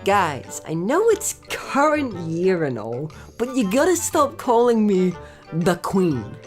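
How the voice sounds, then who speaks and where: Easygoing little male dragon sidekick, cartoon female performer, medium pitch for a woman, very animated. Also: slow, faint music behind. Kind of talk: parody cartoon dub.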